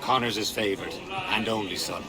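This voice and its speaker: An actor playing a steady, loyal assassin comrade, dry and matter-of-fact, in a game cutscene. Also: male voice, lowish voice, brisk, natural voice. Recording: loud outdoor ambience behind voice.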